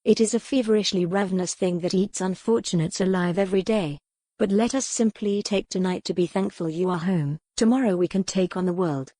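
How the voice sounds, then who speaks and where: A woman's voice: mid-range voice, brisk, dark tone, no echo, clean studio sound, close to an everyday voice. A woman voicing a kindly, worldly noblewoman in a historical audiobook, composed and reassuring with formal, wry phrasing. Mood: composed, affectionate.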